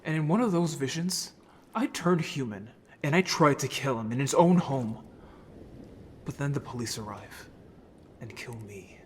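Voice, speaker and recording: A man's voice: medium pitch, expressive, unhurried, a little hiss or hum, close to an everyday voice. A man playing a guilt-ridden, anxious young merman in a fantasy audio drama, speaking hesitantly and emotionally. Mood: pained, resolved. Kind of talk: scripted audio drama acting.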